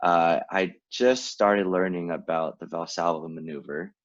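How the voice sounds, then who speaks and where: A man in his late twenties, born in Vietnam and raised in California, co-hosting a podcast in casual chat, laid-back and quick to laugh. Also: sits low, creaky. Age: late 20s. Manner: laid-back, laughs often, lots of fillers. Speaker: podcast co-host. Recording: dull lo-fi sound.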